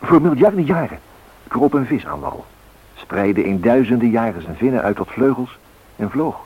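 An actor playing a solemn, poetic storyteller narrating a radio drama with dramatic emphasis and a husky voice. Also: male voice, mid-range voice, sing-song, moderate pace. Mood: rising, impassioned. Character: solemn poetic male storyteller of flight myths. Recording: muffled old radio recording.

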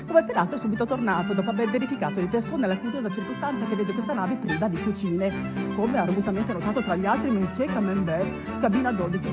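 A woman playing a flamboyant, sardonic master of ceremonies on an ocean liner, showy and declamatory, with quick dry asides. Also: medium pitch, close to an everyday voice. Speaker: stage actor. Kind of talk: theatrical comic announcement.

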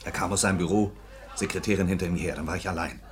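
An actor playing an agitated grown son, earnest and urgent, in a 1950s German radio drama. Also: male voice, deep voice, racing, very noisy, natural voice. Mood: shaken, confessional. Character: disillusioned grown son, agitated, desperate for honesty.

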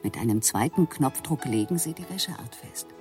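A man's voice: medium pitch, expressive, normal speed, close to an everyday voice. A male actor playing a product announcer who recites household appliance features as scripted advertising narration. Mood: instructive sales pitch. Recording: voice under loud music.

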